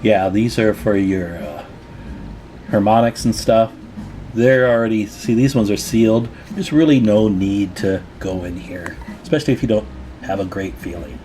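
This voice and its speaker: A man's voice: fairly low pitch, moderate pace, dark tone, a little hiss or hum. A man presenting a hands-on repair tutorial in a casual, plain-spoken, advising way.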